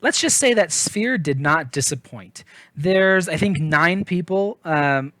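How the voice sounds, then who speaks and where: A man's voice: a little high, expressive, slow, sharp tone, no echo. A man giving a casual book review in an informal, chatty, self-deprecating way.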